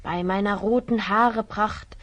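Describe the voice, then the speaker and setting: A woman playing a level-headed fifteen-year-old boy adventurer, earnest and husky, in a fantasy audio drama. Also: mid-range voice, fairly even intonation, measured pace, noisy background, natural voice. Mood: resolute.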